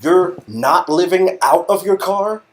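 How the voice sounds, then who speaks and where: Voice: mid-range voice, expressive, takes it slowly. A co-reader of a story game bantering playfully with exaggerated mock disbelief.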